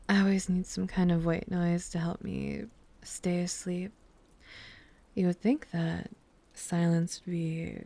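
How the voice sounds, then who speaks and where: A woman speaking softly and soothingly in an intimate, gently teasing ASMR bedtime roleplay, close to the microphone. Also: on the low side, gravelly, no room sound. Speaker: ASMR roleplay performer playing a girlfriend. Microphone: close microphone, dry sound.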